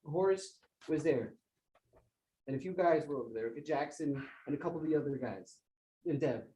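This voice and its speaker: A man's voice: on the high side, normal speed, warm tone, a little hiss or hum, close to an everyday voice. An actor playing a shaken young man who stammers as he retells a violent fight, in a stage play. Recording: roomy, distant from mic.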